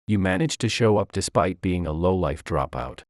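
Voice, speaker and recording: A man's voice: low-pitched, expressive, normal speed, no room sound, clean studio sound. A man voicing an arrogant, mocking banker's son who boasts and belittles others in a narrated story. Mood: sneering. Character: arrogant adult male bully, sneering banker's son.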